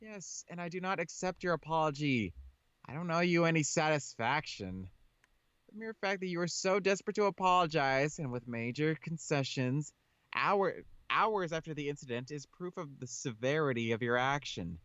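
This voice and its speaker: Man voicing a haughty, higher-pitched teenage girl Alice. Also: animated, normal speed, a bit bright, clean tone, no echo, lo-fi sound. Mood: cold, indignant. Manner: cutting, formal, condescending. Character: haughty, sharp-tongued teenage schoolgirl Alice.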